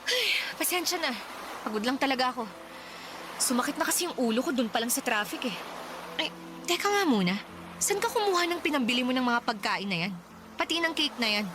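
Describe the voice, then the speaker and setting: A woman's voice: sits high, expressive, brisk, pauses often. A woman with a Filipino accent acting a tired, exasperated young working woman in a radio drama, sarcastic and scolding. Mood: suspicious, tired. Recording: noisy ambient background.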